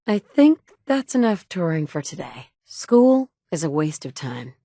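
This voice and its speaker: A woman's voice: sits low, sing-song, a little slow, dark tone, dry recording, studio quality, heavily acted. An actress voicing a confident, wry female survivor in a video game, delivering blunt, self-assured lines. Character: confident, wry adult woman wasteland survivor. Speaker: player character in a video game.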